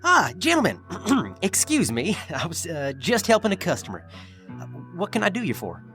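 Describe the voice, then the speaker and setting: An actor playing a wily, guarded American frontier shopkeeper in an audio drama, folksy in manner. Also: male voice, high voice, huge pitch swings, normal speed, a bit bright, exaggerated voice. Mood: polite. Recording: music clearly behind the voice.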